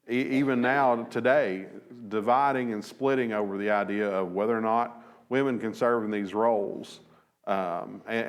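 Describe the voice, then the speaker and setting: A man in his thirties teaching a Bible lesson from a church lectern, measured and thoughtful, with frequent fillers and restarts. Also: lowish voice. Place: church auditorium at a lectern, slides behind.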